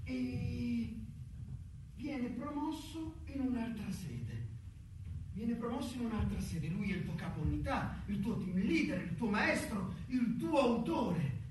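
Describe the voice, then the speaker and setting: Sneering, resentful colleague, echoing. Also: male voice, extremely high pitch, animated, slow pace, darkish tone, full character voice. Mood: insinuating. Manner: sneering, insistent. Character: resentful, cynical male colleague, sneering and insinuating. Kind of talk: stage drama acting. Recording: echoey, distant stage sound, faint noise.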